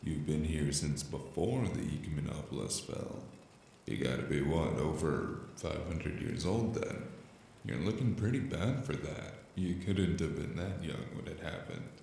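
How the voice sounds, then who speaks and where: A very low, slow male voice acting as a weary noir drifter in an audio drama. He is wry and deadpan, with a gravelly creak, and sounds slightly echoey. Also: slight background noise, exaggerated voice. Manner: deadpan, dry, unhurried. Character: weary, wry noir stranger narrating his investigation. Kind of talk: cyberpunk noir narration and dialogue.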